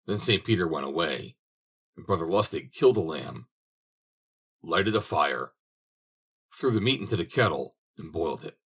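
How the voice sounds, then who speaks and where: Deep, husky storybook reader, man. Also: moderate pace, pauses often. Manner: expressive storytelling. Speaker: audiobook reader. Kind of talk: reading a fairy tale aloud.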